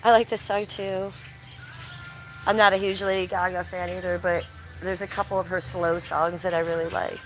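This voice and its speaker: A woman's voice: fairly low pitch, little pitch movement, normal speed, faint music behind, rough recording. A woman with a summer cold live streaming outdoors, answering her chat by name and coughing between remarks. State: congested with a cold. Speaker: livestreamer. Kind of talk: casual live stream chat reading comments.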